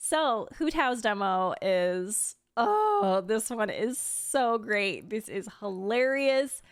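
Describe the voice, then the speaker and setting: A woman's voice: fairly high pitch, very animated, slow pace, clean tone, no room sound, clean studio sound. A woman hosting in a casual, giggly way, giving enthusiastic reaction commentary as she goes through a ranking. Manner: gushing, enthusiastic. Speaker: video host and streamer.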